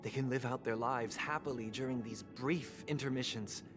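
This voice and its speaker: A man voicing a serene, eloquent game villain, speaking in a solemn, measured, oratorical way. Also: medium pitch, natural voice. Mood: calm persuasion. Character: serene, eloquent male villain preaching an eternal dream paradise. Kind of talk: theatrical video game dialogue. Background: prominent game music.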